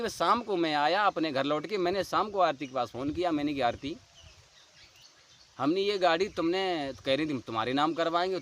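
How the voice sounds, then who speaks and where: Male, fairly high voice, rural western UP Hindi accent. Earnest, insistent personal storytelling, clearly noisy outdoor recording. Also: a bit bright. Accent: western Uttar Pradesh rural Hindi. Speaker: dholak player. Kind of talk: personal account told to camera.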